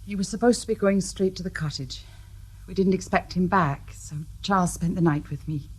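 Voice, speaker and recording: An anxious young mistress. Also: female voice, lowish voice, normal speed, a little mellow, husky. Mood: subdued. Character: anxious young woman, guilty secret.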